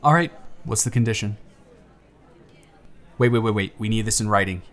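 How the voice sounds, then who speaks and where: A man's voice: lowish voice, moderate pace, natural voice. A man playing a giddy, smitten young man on a blind date, bantering playfully in a one-sided romantic audio roleplay. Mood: teasing. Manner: animated, bantering, playful storytelling with stammers.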